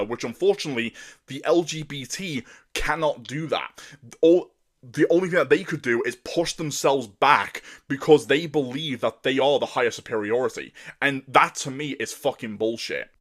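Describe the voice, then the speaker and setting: A man with a deep voice ranting in a fierce, profane monologue. He keeps tripping over his words and restarting phrases as his anger pushes him forward. His pitch sits in a normal middle range for a man. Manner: angry and profane, with many repeated stumbles and restarts. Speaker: commentator.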